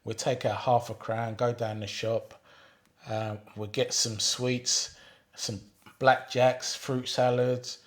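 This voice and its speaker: An older man in his sixties, telling his life story at an easy pace. He hesitates often, filling pauses with ums and going back to rephrase. His voice sits in an ordinary middle register for a man. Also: medium pitch, flat delivery. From England. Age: 60s. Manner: unhurried, hesitant with frequent ums and restarts. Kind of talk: reminiscing monologue reading out questions.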